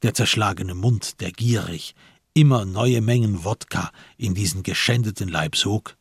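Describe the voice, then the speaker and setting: Low, husky male radio-play narrator. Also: a little slow, no room sound, studio quality.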